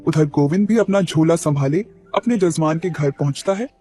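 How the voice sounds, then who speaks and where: A male narrator telling a cartoon moral story. His voice sits fairly high for a man, and he moves the plot along steadily. Gentle music plays under him. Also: normal speed. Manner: steady, explanatory. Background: faint music.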